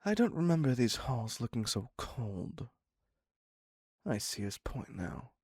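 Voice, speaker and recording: Guy acting as a dramatic clingy serial killer for audio roleplay, swoopy wry delivery, husky, super dry close recording. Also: medium pitch, sing-song, unhurried, darkish tone, no echo. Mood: wry, dismissive. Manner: wry, mock-courteous, wheedling and dramatic. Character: theatrical, clingy male serial killer doting on his captive therapist. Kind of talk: scripted dark romance audio roleplay.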